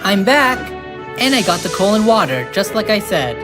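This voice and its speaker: A woman's voice: mid-range voice, very animated, moderate pace, music in the background, natural voice. A woman voicing a young, eager and friendly male steam engine in a cartoon dub. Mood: cheerful. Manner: eager, sincere.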